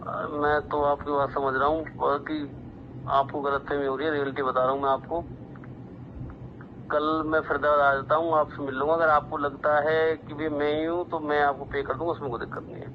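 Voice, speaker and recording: A man talks casually on the phone. His voice is on the high side, and he protests politely, repeating himself. The line is dull, with clear noise behind him. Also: little pitch movement, normal speed. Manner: polite, apologetic, repetitive protesting. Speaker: prank call recipient, office worker.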